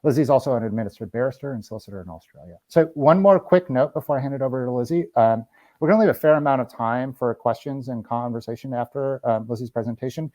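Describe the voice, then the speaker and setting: A man moderating an online panel, reading prepared remarks in a measured, lightly formal way with occasional fillers. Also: mid-range voice, darkish tone, no echo, poor audio. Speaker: moderator of a webinar.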